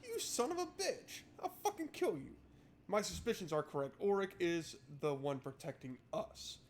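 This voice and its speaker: Joking male streamer, slightly high voice. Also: sing-song, normal speed, slight background noise. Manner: joking, sweary, irreverent. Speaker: game streamer. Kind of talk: casual playthrough commentary, reading narration aloud.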